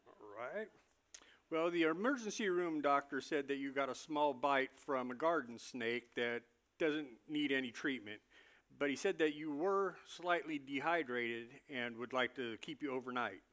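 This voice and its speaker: A man acts out a jittery, chatty doctor in a comic stage reading. The voice is medium-pitched, casual and confiding, with halting phrases. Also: fairly bright tone, close to an everyday voice. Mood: casual, professional. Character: chatty, jittery male doctor moonlighting as security supervisor.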